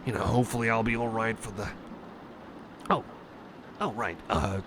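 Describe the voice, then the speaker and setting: A man's voice: fairly low pitch, fairly even intonation, moderate pace, close to an everyday voice. A man playing an anxious, friendly cyborg buddy in an ASMR roleplay, rambling to the listener with hesitant, apologetic stammers. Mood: relieved, shyly eager. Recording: noisy, storm-like ambience behind.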